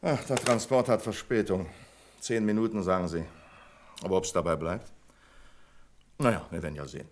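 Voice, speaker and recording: An actor in a German radio play as a fussy, self-important bank branch manager, speaking in an officious, hesitant way. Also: male voice, low-pitched, normal speed, pauses often. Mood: confiding, self-satisfied. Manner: pompous, officious, hesitating with small fillers. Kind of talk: German radio drama acting.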